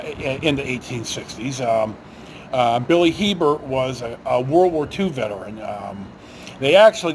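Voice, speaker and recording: A man, middle-aged or older, telling casual personal stories in a rambling, halting way, often breaking off and circling back. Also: medium pitch, a little hiss or hum. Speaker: storyteller host.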